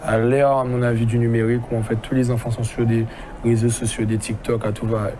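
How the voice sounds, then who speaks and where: A teacher speaks steadily about a school project, explaining things and often saying "en fait." His pitch barely moves. Clear background noise runs behind him. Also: little pitch movement, a little fast, mellow. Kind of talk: explanatory interview.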